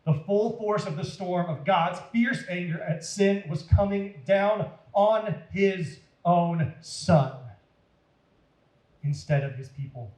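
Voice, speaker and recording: A man reads aloud to young listeners in a roomy, echoing space. His voice sits slightly high, and he takes his time. The delivery is deliberate and dramatic, shaped for children. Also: darkish tone. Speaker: children's storyteller at a church. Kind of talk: expressive read-aloud to children. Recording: roomy echo.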